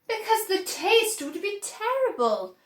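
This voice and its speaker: A woman voicing an eccentric, flippant man from a children's storybook, brisk and playful. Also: very high voice, very animated, fairly bright tone, reverberant, voice pushed up, exaggerated voice.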